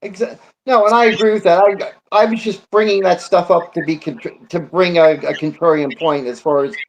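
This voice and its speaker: A man talking sports casually as a panelist, reflective and halting, often repeating words as he builds a point. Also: sits high, steady tone, low-quality recording. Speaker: sports talk show co-host or guest.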